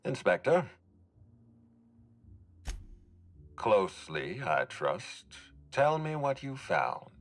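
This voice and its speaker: An actor playing a stern man of authority in a video game, with a dry, sarcastic, deliberate delivery. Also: fairly low pitch, sing-song, faint music behind, heavily acted. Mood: brisk, suspicious. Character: stern, sardonic man of authority overseeing a murder inquiry. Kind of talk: video game character dialogue.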